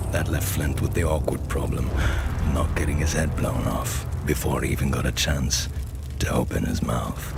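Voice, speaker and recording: An actor playing a grizzled, wry male storyteller, narrating a gritty game cutscene in a gravelly voice. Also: rumbling low, heavily acted. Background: loud music.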